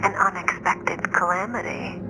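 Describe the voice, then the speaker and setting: A woman's voice: fairly low pitch, fairly even intonation, unhurried, natural voice, low-quality recording. An actress playing a poised, enigmatic woman fugitive with a coolly teasing temper in a video game cutscene. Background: music.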